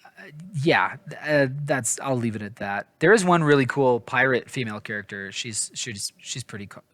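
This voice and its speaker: A man's voice: medium pitch, lively intonation, moderate pace, a bit bright, dry recording. A man giving a casual, rambling book review in an informal, hesitant, self-deprecating way, often stumbling over his words. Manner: informal, hedging, apologetic.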